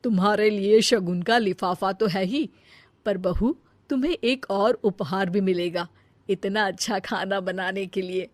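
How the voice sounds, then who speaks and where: A woman's voice: medium pitch, a little slow, no echo, close to an everyday voice. A woman voicing an effusive, generous middle-aged Indian auntie visiting in a cartoon family story.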